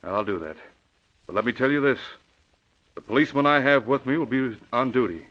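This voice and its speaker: A man's voice: mid-range voice, animated, fairly quick, close to an everyday voice. Man as a blustery, stern police commissioner. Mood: grudging, stern. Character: gruff, grudging male police commissioner, stern authority. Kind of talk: 1940s radio drama acting. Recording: muffled old 1940s radio recording.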